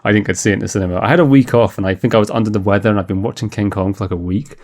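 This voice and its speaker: A man's voice: fairly low pitch, fairly even intonation, very fast, a little mellow. A man co-hosting a podcast, talking in a casual, rambling, conversational way about films. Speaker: podcast co-host. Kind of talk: casual film discussion.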